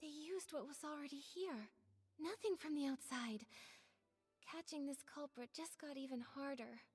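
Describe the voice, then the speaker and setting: Woman playing earnest young detective heroine in a game, high voice, soft music. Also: normal speed, big-room sound, natural voice. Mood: thoughtful, earnest. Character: earnest young heroine piecing together a mystery. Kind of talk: game voice acting.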